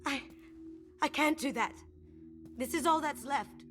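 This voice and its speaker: A woman's voice: high voice, a little slow, soft background music. A woman acting in a video game as a sentimental master thief, speaking in a conversational, wistful way. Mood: pained, reluctant. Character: sly, sentimental female master thief, grieving her lover. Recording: loud game ambience behind voice.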